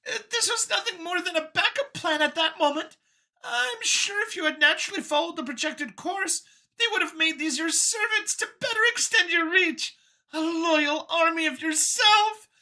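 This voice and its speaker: A woman's voice: extremely high pitch, moderate pace, sharp tone, pitched above the performer's own voice, heavily acted. A woman voicing a nervous, boastful female alien scientist from an audio story, whiny and gushing as she justifies her elitist views. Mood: nervous, eager. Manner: gushing, over-eager justifying.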